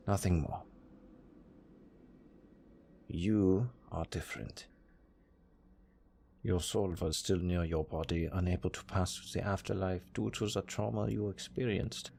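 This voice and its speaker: A man with a German accent playing a cold, condescending necromancer master in an audio roleplay, speaking in a deadpan, unhurried, gravelly way. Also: low-pitched, heavily acted. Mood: matter-of-fact, explaining. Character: cold, dryly matter-of-fact male necromancer master.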